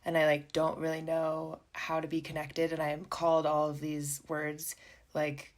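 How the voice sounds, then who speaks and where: Podcast guest: young American woman, low-pitched, candid and reflective, heavy on "like" fillers. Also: completely flat delivery, normal speed. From United States. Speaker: professional skier, guest. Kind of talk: casual interview talk.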